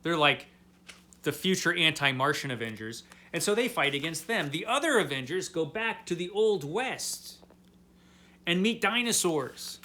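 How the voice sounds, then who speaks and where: Male review host, higher-pitched and forceful, casual and tongue-in-cheek, leans hard on key words. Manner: animated, emphatic with drawn-out key words; tongue-in-cheek, mock-baffled. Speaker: host of a comic book review series. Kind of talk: casual comedic comic book recap, talking to viewers.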